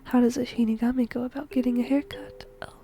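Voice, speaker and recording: A woman playing a whimsical death god in a whispered ASMR roleplay, gentle, musing and playful, breathy and close to the microphone. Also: on the high side, steady tone, quick pace, soft background music. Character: whimsical female shinigami death god fond of humans.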